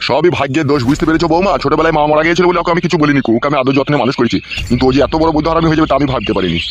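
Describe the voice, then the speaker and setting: A man's voice: on the high side, racing, lo-fi sound, slight background noise, lightly acted. A man voicing an angry father who berates his grown son in a comic cartoon, blustering and swearing. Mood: bitter, resigned. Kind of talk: comic cartoon dialogue in village family quarrel.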